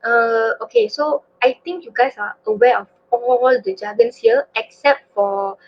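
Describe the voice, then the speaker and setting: A woman's voice: on the high side, sing-song, unhurried, creaky, faint music behind. A woman from Malaysia with a Malaysian English accent, presenting in a student webinar in an earnest manner with fillers. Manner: earnest, many fillers and restarts. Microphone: video call. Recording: lo-fi online call audio.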